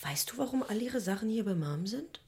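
An actress playing an inquisitive, persistent young granddaughter in a radio drama, eager and probing. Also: female voice, medium pitch, expressive, fairly quick, warm tone, lightly acted. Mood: curious, insistent.

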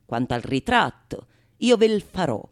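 A woman's voice: fairly low pitch, sing-song, normal speed. A woman playing a witty, teasing male painter in a theatrical radio monologue, sly and fond of wordplay. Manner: sly, mock-impressed, theatrical.

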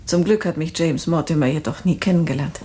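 A woman's voice: low voice, brisk, dark tone, a little hiss or hum, lightly acted. An actress playing a resolute, middle-aged grieving mother in a radio drama, speaking firmly and with composure. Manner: firm, composed.

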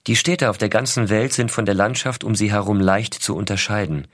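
A man plays an astronaut father narrating letters to his small son. His low voice is measured, reflective and affectionate, recorded dry and close. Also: fairly bright tone, no room sound. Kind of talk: scripted first-person letter narration.

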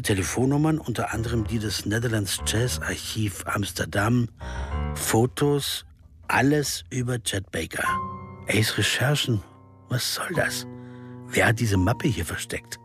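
An actor playing a wry, puzzled investigator who narrates a radio crime drama in a terse, musing way. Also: male voice, mid-range voice, unhurried, over music, lightly acted. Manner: musing, terse, asking himself questions.

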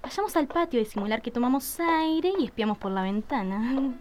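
A woman's voice: a little high, lively intonation, fairly quick, close to an everyday voice. A woman playing a bossy, scheming young Argentine woman with urgent delivery, in an amateur radio play. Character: bossy, scheming young woman sneaking after her idol. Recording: faint background noise.

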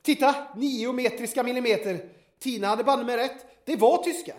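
Man acting a high-voiced squeamish male investigator. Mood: excited, insistent. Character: squeamish, queasy male amateur investigator, eager to conclude. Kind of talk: audio drama acting. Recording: roomy, echoing sound.

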